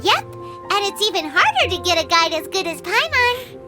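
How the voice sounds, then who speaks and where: A woman voicing a tiny floating girl companion, chirpy and bossy, in a squeaky, very high voice. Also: sing-song, moderate pace, over music. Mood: boastful. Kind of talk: game voice acting.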